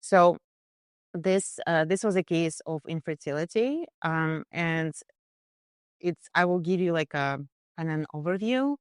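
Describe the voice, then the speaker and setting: A woman nutritionist on a podcast, describing a client case in casual, halting speech full of fillers and restarts. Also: fairly low pitch, expressive, clean tone, dry recording, clean studio sound. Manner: hesitant, many fillers and restarts. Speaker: nutritionist guest. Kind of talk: conversational case discussion.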